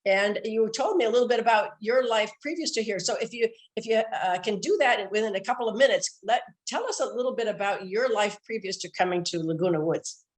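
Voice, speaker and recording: A woman interviewing a guest for community television, friendly and quick to laugh. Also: medium pitch.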